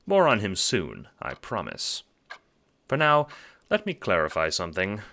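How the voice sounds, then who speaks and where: A man's voice: low voice, a bit bright. A man reading scripted, dramatic narration for an audiobook-style drama.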